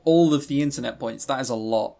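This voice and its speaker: A man's voice: mid-range voice, fast talker, creaky, dark tone. A man co-hosting a podcast in casual, unscripted chat, with wry, self-deprecating humour. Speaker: podcast co-host.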